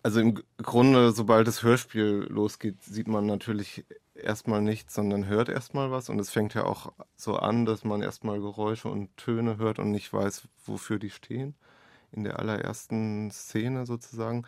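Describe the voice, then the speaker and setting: Low, even-toned man explaining his writing. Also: moderate pace, vocal fry, no room sound. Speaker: author, interviewee. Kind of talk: reflective interview conversation.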